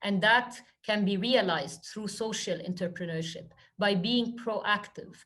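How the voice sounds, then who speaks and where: A woman from Egypt speaks at a middle pitch as a webinar guest. She answers questions earnestly in long, flowing stretches, dotted with "you know". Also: a little slow, fairly bright tone. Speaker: guest speaker, social entrepreneur. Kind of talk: webinar Q&A talk.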